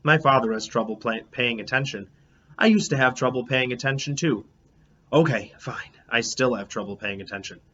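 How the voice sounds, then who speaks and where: A man reading a children's book aloud in an animated, expressive way, as a teacher would. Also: mid-range voice, moderate pace. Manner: expressive, acts out dialogue. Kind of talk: animated storybook read-aloud. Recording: dull lo-fi, clear background noise.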